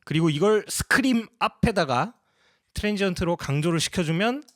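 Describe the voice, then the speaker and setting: A man's voice: sits high, expressive, moderate pace. A man narrating a casual tutorial as a sound designer, explaining each step politely.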